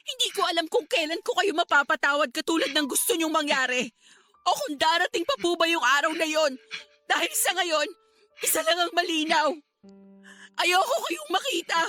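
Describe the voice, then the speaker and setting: A high-pitched young Filipino daughter in a radio melodrama. Her voice is bright and full of feeling, with light music playing behind it. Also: no room sound. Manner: emotional, cutting, polite address to parents. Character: hurt, angry student daughter confronting her cheating father. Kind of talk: Filipino radio drama acting.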